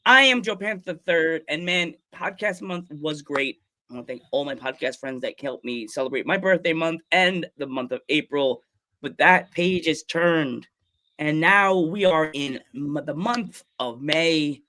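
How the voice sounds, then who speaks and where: Guy hosting a wrestling podcast, kinda high bright voice, keeps saying awesome. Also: a little slow. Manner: enthusiastic, repeats "awesome". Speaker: podcast host. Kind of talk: casual interview chat.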